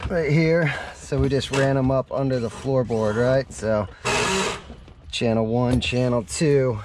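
Regular guy, middle pitch, casual diy walkthrough talking as he works, noisy outdoor action cam audio. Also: steady tone, unhurried. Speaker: vlogger showing a DIY truck install. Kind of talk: casual hands-on how-to narration. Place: outdoors in and around a parked truck in a driveway. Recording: clear background noise, vehicle ambience.

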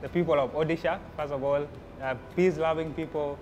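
A man's voice: a little high, steady tone, brisk, a little mellow, a little hiss or hum. A young man, a student giving a testimonial. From South Sudan. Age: young adult.

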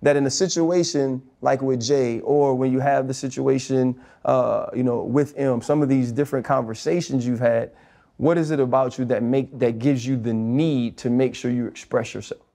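A man's voice: medium pitch, fairly even intonation, moderate pace, creaky, dark tone. Male co-host, mid-range voice, reflective and conversational questioning. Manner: thoughtful, searching, conversational. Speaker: podcast co-host. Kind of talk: casual interview questions. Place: indoor studio set.

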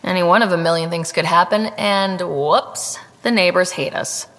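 Confident, lowish-voiced young space explorer. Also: female voice, moderate pace, a bit bright. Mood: casual, assured. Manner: direct, casual. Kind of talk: video game dialogue.

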